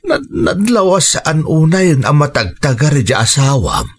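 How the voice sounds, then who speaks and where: A man's voice: a little high, very animated, a little slow, over music. A man with an Ilocano accent playing a concerned elderly father questioning his grown daughter in a radio drama, grave in manner. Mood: worried.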